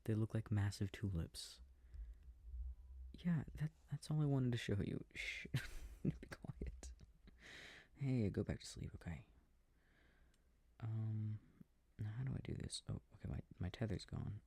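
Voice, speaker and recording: Affectionate boyfriend, played by a man, for sleep-aid ASMR roleplay: breathy, gravelly, soft rambling murmur, very close and dry. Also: lowish voice, unhurried, pauses often, dry recording. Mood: tender, soothing. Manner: murmured, rambling, gently bemused. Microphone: close microphone.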